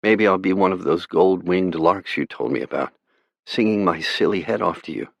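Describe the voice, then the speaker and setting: A man's voice: low-pitched, normal speed, gravelly, dry recording, lo-fi sound. A man voicing a frightened, brave teenage girl in an audiobook, pleading and tender. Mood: tender farewell. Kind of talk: audiobook character voice.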